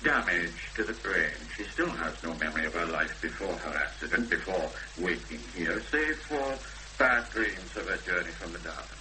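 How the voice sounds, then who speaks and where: An actor playing a grave, persuasive Welsh chapel minister with solemn, measured speech in a 1970s radio drama. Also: male voice, deep voice, animated. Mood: solemn, firm. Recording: noisy old radio broadcast recording with hum.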